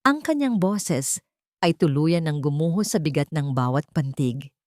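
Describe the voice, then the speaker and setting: A woman with a Filipino accent narrating a scripted dramatic story in the first person, in a measured, emotive way. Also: low voice, expressive, darkish tone, dry recording, studio quality.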